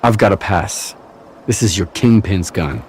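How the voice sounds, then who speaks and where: A man's voice: low voice, lively intonation, measured pace, darkish tone, a little hiss or hum. A man playing a tough, unflinching lone mercenary in a video game, speaking bluntly and matter-of-factly. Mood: cool defiance.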